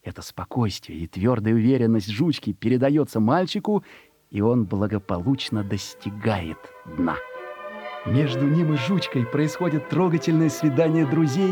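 A man narrating a story for an audio production, expressive and dramatic, with deliberate pauses. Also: mid-range voice. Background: music.

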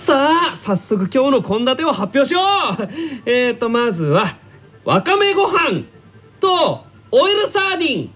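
A cheeky, brash teenage schoolboy in an anime radio drama. The voice is high and husky, swooping widely in pitch as if making an excited school lunch announcement. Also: male voice, unhurried, muffled recording, full character voice. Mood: excited announcing. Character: brash, cheeky, food-loving teenage schoolboy tennis player.

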